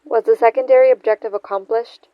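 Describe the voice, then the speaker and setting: An actress playing a confident, dutiful psionic agent and sister in a sci-fi audio drama, composed and assured. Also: female voice, on the high side, fairly even intonation, quick pace, dry recording, dull audio, lightly acted.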